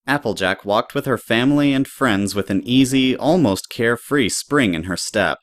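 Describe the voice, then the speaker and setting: A man's voice: mid-range voice, lively intonation, normal speed, no room sound, clean studio sound. A man reading scripted story narration as the narrator of an audio drama.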